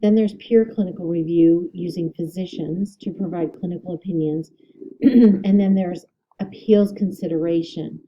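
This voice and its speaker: A woman instructor giving a recorded lecture, her voice a little hoarse, explaining steadily with ums and self-corrections. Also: fairly low pitch, fairly even intonation, moderate pace, highs cut off. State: slightly hoarse, clearing throat.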